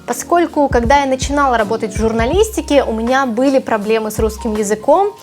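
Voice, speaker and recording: A woman's voice: fairly high pitch, fast, a little mellow, faint music behind. A woman from Ukraine, a native Ukrainian speaker, giving articulate, emphatic career advice in an explanatory vlog as a journalist.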